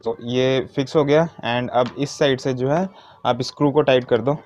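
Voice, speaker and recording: A man's voice: mid-range voice, steady tone, moderate pace, darkish tone, slight background noise. A man giving an informal hands-on tutorial step by step, mixing in English words. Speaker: motovlogger giving a how-to demonstration. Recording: dull, muffled lo-fi sound.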